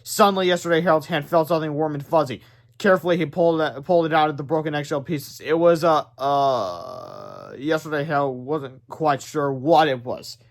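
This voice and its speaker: A man's voice: a little high, normal speed. A man reading a children's book aloud dramatically, stumbling over the odd word and adding asides. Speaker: read-aloud narrator.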